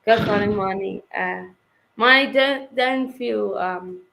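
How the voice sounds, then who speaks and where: A woman hosting a casual sports livestream, talking slowly and unhurriedly. Her voice has a gravelly, creaky edge, and she hesitates often, filling pauses as she goes. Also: mid-range voice, noisy background. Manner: hesitant, many fillers, wraps up the show. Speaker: stream host.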